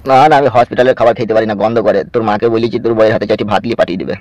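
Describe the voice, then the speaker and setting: A man playing a wounded older village father in hospital, hushing and cautioning his son. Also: fairly low pitch, steady tone, racing, no room sound, highs cut off, natural voice. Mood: pleading.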